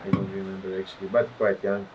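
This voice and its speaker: Croatian guy, lowish voice, animated podcast guest, keeps hunting for words. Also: fast talker, slight background noise, low-quality recording. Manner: animated storytelling, searches for words. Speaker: guest, pro windsurfer. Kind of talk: casual podcast interview.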